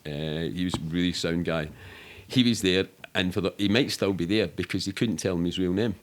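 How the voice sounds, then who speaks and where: A man's voice: low voice, steady tone, brisk. A middle-aged Scottish man telling anecdotes casually in a colloquial, rambling, sweary way, as an interview guest.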